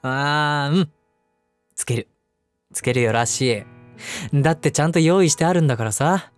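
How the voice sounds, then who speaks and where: A man acting as a devoted young husband, speaking gently and intimately to the listener as his wife in an audio drama. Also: a little high, moderate pace, lightly acted. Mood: gentle, caring. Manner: gentle, affectionate, softly chuckling between phrases. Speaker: voice actor. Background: background music.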